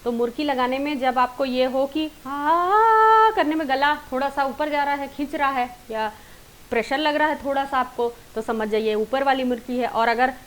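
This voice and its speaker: High-voiced Indian woman teaching singing. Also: fairly even intonation, normal speed. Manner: instructive, addresses viewers directly, mixes in English terms. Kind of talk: online singing lesson, informal explanation.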